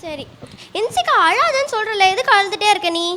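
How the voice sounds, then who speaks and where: High-voiced woman playing a schoolteacher, echoey hall. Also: very animated, a little fast, sharp tone, heavily acted. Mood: exasperated, chiding. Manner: exasperated questioning, chiding. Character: scolding, teasing schoolteacher, later gently consoling. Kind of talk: amateur church skit acting. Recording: echoey hall, distant, noisy room sound.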